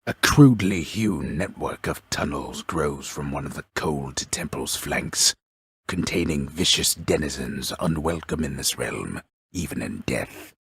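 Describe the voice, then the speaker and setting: A male actor playing a grave, pompous storyteller in a video game, narrating a mythic epic in an ornate, deliberate, dryly wry manner with a gravelly voice. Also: very deep voice, expressive, no room sound, clean studio sound, full character voice. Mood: solemn, portentous. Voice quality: gravelly, husky. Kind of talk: theatrical scripted narration.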